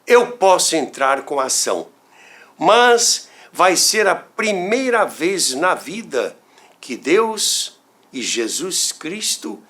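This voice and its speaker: A man voicing a drily witty lawyer in an anecdote, with a Brazilian accent and a weighty, drawn-out deadpan delivery. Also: on the high side.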